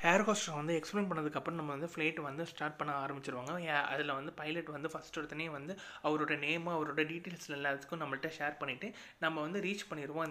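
A Tamil-accented man talks through a casual travel vlog at a rapid clip, his voice sitting a little high. He sounds bright and edgy, and his delivery is chatty and advice-giving, packed with fillers. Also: a little hiss or hum. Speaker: travel vlogger.

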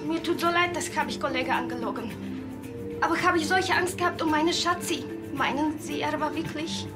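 Woman acting high-voiced, distraught foreign girlfriend. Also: normal speed, over music, close to an everyday voice. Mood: tearful apology. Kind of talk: TV crime comedy acting.

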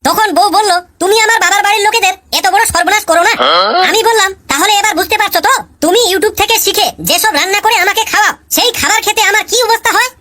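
Woman as wisecracking cartoon pupil; fast, bright, high, storytelling punchlines. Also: background noise. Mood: cheeky. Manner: chatty storytelling, punchline delivery. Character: cheeky male pupil, married, joking about his wife. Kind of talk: cartoon comedy voice acting.

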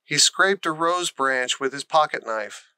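A man's voice: a little high, expressive, measured pace, sharp tone, clear, resonant tone, dry recording. A man reading a children's story aloud as scripted narration. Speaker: storybook reader.

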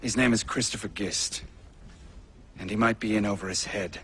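An actor playing a courteous, formal older army colonel in a video game. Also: male voice, on the low side, steady tone, a bit bright, slight background noise, close to an everyday voice. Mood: earnest. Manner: formal, measured. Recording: game audio with music behind.

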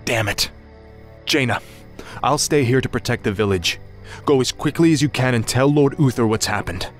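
An actor voicing a resolute young warrior prince in a fantasy game, righteous, impatient, earnest and commanding. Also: male voice, mid-range voice, normal speed, faint music behind, close to an everyday voice. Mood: urgent. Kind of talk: heroic fantasy game acting.

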